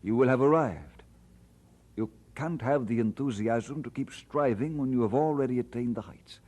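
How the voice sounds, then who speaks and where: An actor playing an earnest, conscientious male pastor in an audio drama, speaking in a measured, deliberate way. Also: medium pitch, darkish tone, close to an everyday voice. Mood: sober reading. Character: earnest, conscientious male pastor torn over his calling. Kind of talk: dramatised radio-play acting.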